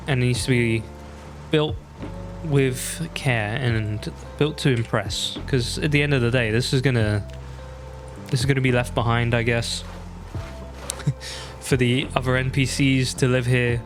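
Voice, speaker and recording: A young man talks loosely over his gameplay, with faint music playing underneath. His voice sits in an ordinary middle pitch. He laughs easily and keeps slipping in self-mocking asides. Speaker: gaming YouTuber. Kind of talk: casual let's-play commentary.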